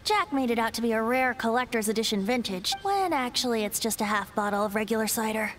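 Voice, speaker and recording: A woman's voice: fairly high pitch, fast talker, a bit bright, lightly acted. A woman playing a boyish, ancient wind spirit bard in a video game, a playful, lilting storyteller with a light voice. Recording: game music behind the voice.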